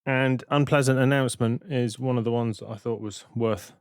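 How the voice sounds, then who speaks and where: A man giving a casual, matter-of-fact software tutorial as a plain-spoken voice-over. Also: mid-range voice, fairly even intonation, normal speed, smooth, no room sound, studio quality. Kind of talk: casual tutorial narration over screen recording.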